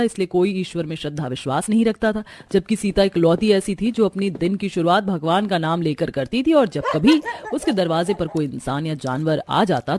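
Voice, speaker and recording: A woman's voice: lowish voice, a little mellow, no echo. A woman reading scripted narration for a moral cartoon story as its storyteller.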